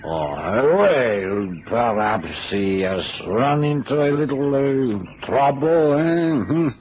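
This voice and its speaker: A man's voice: mid-range voice, animated, slow pace, darkish tone. An actor playing a sly, talkative Mexican man in a radio drama, with a Mexican accent and a teasing, chuckling manner full of needling tags. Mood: sly, veiled threat. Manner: drawling, teasing, punctuates with eh and chuckles. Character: sly, mocking Mexican man, boastful, talkative, veiled menace. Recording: muffled old radio recording, faint noise.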